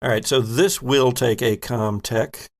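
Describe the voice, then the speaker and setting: A man narrating as game master of a tabletop game in a measured, descriptive way. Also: mid-range voice.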